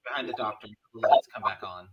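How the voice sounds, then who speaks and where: A man's voice: lowish voice, quick pace, dull audio. A man narrating scene headings and stage directions at a screenplay table read.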